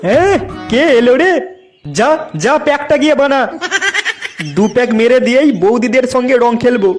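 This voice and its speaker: A man voicing bossy, crude, excitable village men in a comedy cartoon, with an exaggerated, teasing delivery. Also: extremely high pitch, normal speed, soft background music. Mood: blustering.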